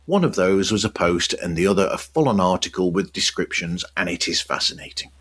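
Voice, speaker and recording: Give the voice of a man presenting a podcast. He has a low-pitched voice and reads scripted news items and show notes in a narrating style. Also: animated, a little fast, fairly bright tone, breathy edge. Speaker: podcast host.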